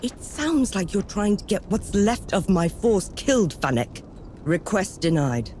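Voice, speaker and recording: An actress playing a stern, skeptical field marshal in a game cutscene, curt and authoritative. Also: female voice, mid-range voice, sing-song, a little slow, background noise. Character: stern, skeptical female field marshal, battle-worn commander. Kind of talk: video game cutscene acting.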